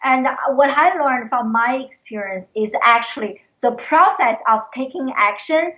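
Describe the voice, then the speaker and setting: A woman's voice: on the high side, unhurried, a bit bright. A woman, a guest talking earnestly and with passion. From China. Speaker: guest, educator. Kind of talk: casual interview talk. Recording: tinny phone line.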